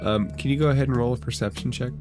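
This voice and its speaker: A man's voice: medium pitch, rapid-fire. A man acting as dungeon master, running a tabletop game with background music. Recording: loud music behind the voice. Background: loud background music.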